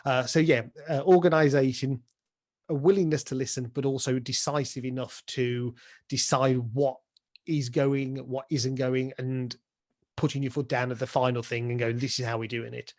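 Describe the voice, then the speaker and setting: A man's voice: mid-range voice, steady tone, normal speed, darkish tone. Chatty British man answering interview questions. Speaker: pantomime dame and director being interviewed.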